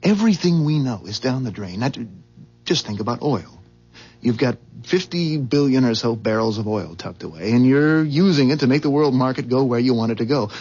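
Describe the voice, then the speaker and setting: Rattled, suspicious husband for vintage radio drama: husky, halting, emphatic, widely swinging pitch, dull tape sound. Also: male voice, darkish tone. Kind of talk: 1970s radio drama acting. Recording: dull, muffled 1970s radio recording.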